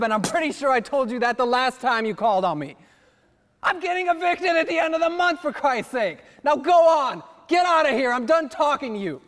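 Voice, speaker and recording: A man plays an irritable, down-on-his-luck grouch in a stage comedy. His voice is pitched extremely high as he protests in exasperation. The hall gives it a long echo. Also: heavily acted. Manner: exasperated, loud protesting, sighing. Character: broke, irritable man pestered by a salesman. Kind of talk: comic stage play acting. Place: theater stage at a play festival.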